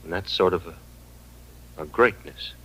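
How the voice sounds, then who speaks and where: An actor playing a sober, thoughtful American frontier man in an old radio western, measured and reflective. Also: low-pitched, heavy background noise. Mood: somber. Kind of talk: 1950s radio western acting.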